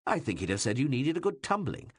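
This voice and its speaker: A male narrator voicing a bawdy, teasing king who mocks and needles those around him in a loud, playful way. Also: medium pitch, sing-song, fast, slightly rough, dry recording, studio quality, voice pushed up. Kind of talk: audiobook reading.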